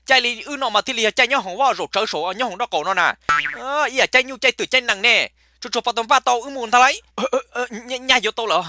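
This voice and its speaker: A man's voice: very high-pitched, quick pace, pushed and bright, no room sound, studio quality, full character voice. A man voicing a talkative male character in a comic cartoon. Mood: excited. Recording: clear background noise.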